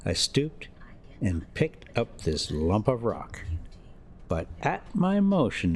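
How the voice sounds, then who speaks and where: A man with a fairly low voice hosts a story reading. He speaks very slowly and deliberately. His phrases come in short, measured pieces with halts between them. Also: darkish tone. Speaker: host reading a classic story aloud. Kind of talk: audiobook-style story reading with casual asides.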